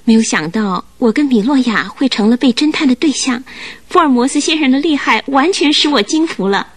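A young bride speaks in a fairly high voice. She is polite and admiring, given to exclamations, in the manner of Mandarin radio drama. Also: animated, a little fast. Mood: amazed, admiring.

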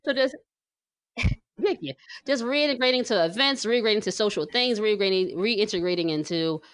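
A woman's voice: mid-range voice, expressive, normal speed, dry recording. An American woman from New Jersey co-hosting a casual, chatty podcast, speaking with emphatic repetition. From New Jersey, United States. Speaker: podcast co-host.

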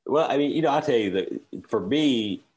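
A man's voice: mid-range voice, lively intonation, moderate pace, darkish tone, husky, low-quality recording. A man in his forties, a filmmaker being interviewed, answering candidly in a rambling way with many restarts. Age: 40s. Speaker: filmmaker guest.

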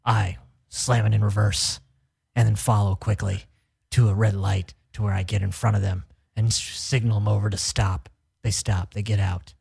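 A man's voice: lowish voice, little pitch movement, normal speed, gravelly, a little mellow, husky. A man hosting a podcast, telling stories in casual chat with a light, youthful-sounding voice and frequent laughs. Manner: jokey, laughs often, storytelling. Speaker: podcast host.

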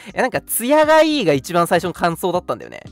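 A man's voice: high-pitched, huge pitch swings, quick pace. Guy co-hosting a radio chat, casual, voice swings around a lot, light music under him. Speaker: radio show co-host. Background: background music.

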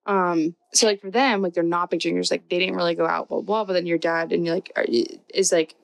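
A woman's voice: fairly low pitch, fairly even intonation, fast, gravelly, low-quality recording. A woman in her twenties co-hosting a podcast, chatting casually with lots of "like" and acted-out quotes. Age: 20s. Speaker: podcast co-host. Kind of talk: casual chat.

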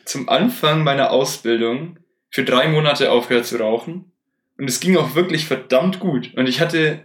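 A young man in casual chat as a podcast co-host, eager, candid and joking. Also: mid-range voice. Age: young adult. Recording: echoey room.

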